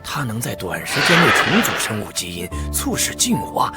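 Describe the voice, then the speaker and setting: An actor playing a fanatical, slippery mad scientist villain in an animated drama, with theatrical delivery. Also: male voice, fairly low pitch, moderate pace. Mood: smug, gloating. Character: smug fanatical male mad scientist villain, cowardly when threatened. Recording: loud background music.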